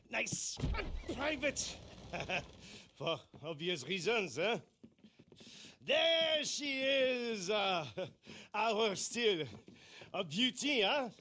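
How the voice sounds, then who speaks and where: An actor playing a proud, fussy, genteel French master distiller with a French accent, flamboyant and didactic, in a game cutscene. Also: male voice, sits high, bright, forceful tone, a little hiss or hum, heavily acted. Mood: delighted, proud. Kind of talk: cinematic game dialogue.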